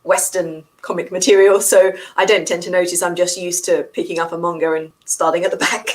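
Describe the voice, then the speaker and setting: A woman's voice: fairly low pitch, flat delivery, fairly quick. A woman co-hosting a book discussion, chatting without a script in a tentative, understated way. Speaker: co-host of a book discussion stream. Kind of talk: casual unscripted conversation.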